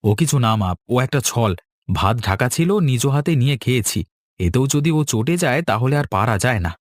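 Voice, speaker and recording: A man's voice: mid-range voice, expressive, fairly quick, dry recording, clean studio sound, natural voice. A man voicing an exasperated young husband in a village cartoon, grumbling and dismissive. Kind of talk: Bengali moral-tale cartoon dubbing.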